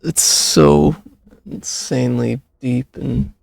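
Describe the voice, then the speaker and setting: Gravelly, slow-talking male game streamer. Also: mid-range voice, fairly even intonation, darkish tone, dry recording. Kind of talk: casual reaction commentary.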